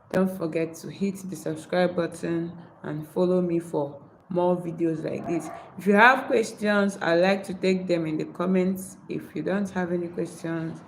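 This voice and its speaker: A woman's voice: on the low side, darkish tone, a little hiss or hum, rough recording. A woman from Nigeria with a Nigerian accent, English not her first language, hosting a live video of channel tips, reading points aloud and then explaining them. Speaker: YouTube creator. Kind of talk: live-stream tips talk.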